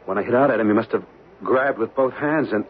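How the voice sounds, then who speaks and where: A man's voice: mid-range voice, fairly quick, lightly acted. An actor playing a blunt, scrappy, defiant man in a radio drama, speaking colloquially and emphatically. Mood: shaken relief. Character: defiant, plain-spoken American male adventurer, scrappy. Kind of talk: 1970s radio drama acting. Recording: tinny, band-limited old radio recording, faint noise.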